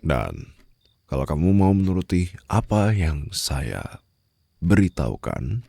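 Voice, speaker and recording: Man acting as a very deep, gravelly mafia boss. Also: mellow, no room sound, studio quality, full character voice. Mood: condescending, threatening. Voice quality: very low, gravelly, husky. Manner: formal, condescending, controlled, mockingly sweet. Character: cold, menacing male mafia boss taunting his captive. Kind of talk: ASMR roleplay acting, addressed to the listener. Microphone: close studio-style microphone.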